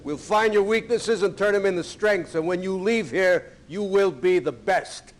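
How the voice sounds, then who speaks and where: An actor playing a stern, gruff Navy commander who briefs pilots in a clipped, authoritative way in a video game. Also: male voice, high-pitched, moderate pace, close to an everyday voice. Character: stern, gruff male Navy commander and flight school instructor. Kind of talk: acted video game cutscene dialogue.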